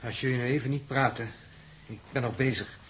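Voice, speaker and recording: An actor playing a careful, exacting spaceship navigator in a 1960s radio drama, measured, precise and slightly irritable. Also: male voice, mid-range voice, fairly even intonation, fairly bright tone, slight background noise, natural voice. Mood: focused, irritable.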